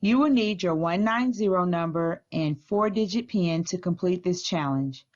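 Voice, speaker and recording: A woman school librarian reading aloud and giving instructions to children in a deliberate, teacherly way. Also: on the low side, lo-fi sound. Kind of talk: storybook read-aloud and activity instructions.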